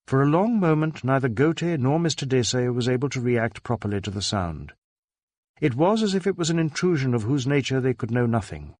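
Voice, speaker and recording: A man narrating a crime novel in a measured, dramatic way. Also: mid-range voice, no room sound, studio quality.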